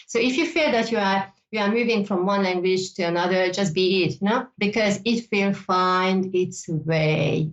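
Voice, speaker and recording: A woman with a Hungarian accent, native speaker of Hungarian, hosting a psychic show and teaching in a loose, rambling way, often repeating words and adding "you know". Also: mid-range voice. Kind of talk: casual teaching talk. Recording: dull lo-fi sound.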